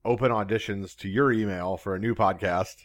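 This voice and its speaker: A man's voice: lowish voice, fairly even intonation, brisk, no echo, clean studio sound. A man co-hosting a casual podcast, dropping wry, teasing remarks. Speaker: podcast co-host. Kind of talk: casual group chat.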